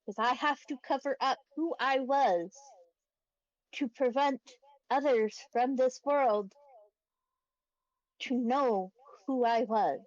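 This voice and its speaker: A woman voicing a small, solemn rodent teacher who speaks in measured, formal, fatherly phrases in a tabletop game. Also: high voice, no echo, muffled recording. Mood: grave, patient.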